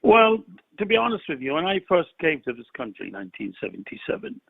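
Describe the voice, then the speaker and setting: A man's voice: fairly high pitch, big pitch swings, fast talker, gravelly, crowd noise. An older man from Iraq calling in to a phone-in show, speaking in an impassioned, rhetorical way and repeating phrases for emphasis. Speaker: caller. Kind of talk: phone-in call. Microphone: telephone. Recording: tinny phone line, dry.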